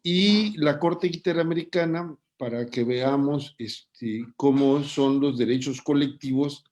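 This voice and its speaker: A man moderates an online lecture. He speaks slowly and deliberately, stopping often to gather his thoughts. His voice sits in an ordinary middle range. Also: medium pitch, darkish tone. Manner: measured, deliberate, pauses with fillers. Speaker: host and moderator.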